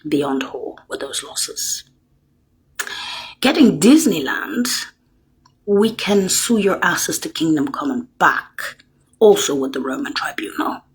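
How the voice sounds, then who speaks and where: An older woman in a rambling monologue, lurching between hushed whispers and loud, emphatic bursts as she accuses her listeners directly. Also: low-pitched, big pitch swings, slow, long pauses, breathy edge, poor audio.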